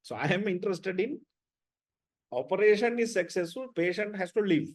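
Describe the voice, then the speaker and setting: High-voiced blunt male lecturer. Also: expressive, normal speed. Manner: blunt, repetitive, asks "right?" a lot. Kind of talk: online class with students.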